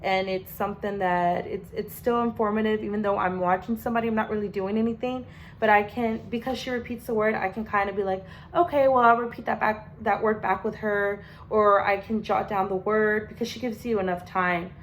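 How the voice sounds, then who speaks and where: A woman's voice: medium pitch, slight background noise. A young adult woman, a language student, gives a casual spoken class presentation in a chatty way, full of fillers and restarts.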